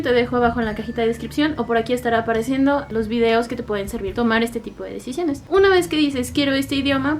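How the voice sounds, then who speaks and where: A woman's voice: a little high, a little hiss or hum. Chatty young Mexican woman, light voice. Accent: Mexican Spanish. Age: 20s. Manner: friendly and chatty, addresses the viewer directly. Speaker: language-learning YouTuber. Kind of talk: casual explanatory vlog talk.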